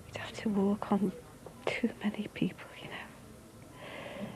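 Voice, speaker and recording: An actress playing a tired, injured middle-aged wife speaking in hushed, breathy tones. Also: female voice, medium pitch, flat delivery, slow, in a whisper, natural voice. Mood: torn.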